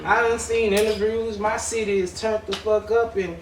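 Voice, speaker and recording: High-voiced man in his forties. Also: level tone, normal speed. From Dallas, Texas. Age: 40s. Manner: candid, street slang, repeats phrases. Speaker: guest rapper. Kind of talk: casual interview chat. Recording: echoey room, distant mic, background noise.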